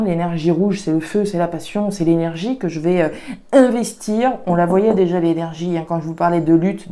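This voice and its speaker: A woman's voice: on the low side, warm tone. A woman with a regional French accent doing a casual card reading for viewers, thinking aloud with frequent fillers and restarts. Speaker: tarot and oracle card reader.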